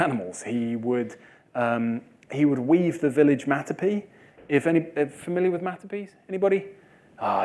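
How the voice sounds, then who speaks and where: Man, speaker in a Q&A, lively storyteller, jokey, mid-pitched. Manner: lively, jokey, acts out quoted villagers. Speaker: speaker at a talk. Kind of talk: animated Q&A storytelling. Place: lecture hall with audience.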